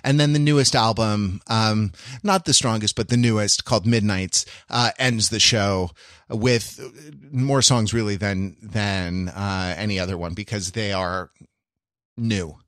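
A man's voice: fairly low pitch, unhurried, a bit bright, no echo, studio quality. A man co-hosting a casual podcast, explaining things in a rambling, analytical way. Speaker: podcast co-host.

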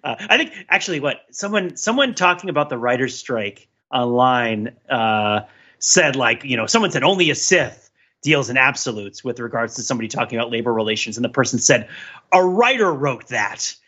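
An animated man with a bright, forceful voice chats casually as a podcast co-host. He rambles in a jokey way and circles back over his phrases. The recording is a bit dull and lo-fi. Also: mid-range voice, brisk, breathy edge. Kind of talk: casual pop-culture discussion.

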